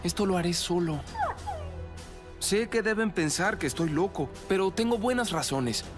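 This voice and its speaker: Animated teenage boy cartoon hero. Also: sits high, normal speed, over music. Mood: earnest. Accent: Latin American.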